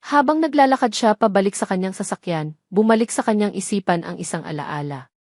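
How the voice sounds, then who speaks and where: A woman reading a scripted romance story in a measured, solemn, dramatic narrating manner. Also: medium pitch, animated, darkish tone, no echo, studio quality.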